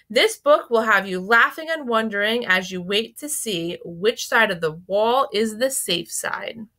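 A woman reading a scripted narration that recommends children's books, expressive and carefully enunciated. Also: on the high side. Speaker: library book recommender, presenter. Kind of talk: scripted promotional narration of children's book picks.

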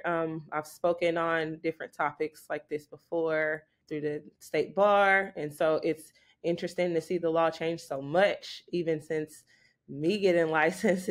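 Woman guest attorney from Houston, lowish voice, conversational with lots of ums, webcam from an office. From Houston, Texas. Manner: conversational, frequent ums, light laughs. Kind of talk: casual interview answers. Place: office with framed diplomas, indoors. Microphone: video call webcam.

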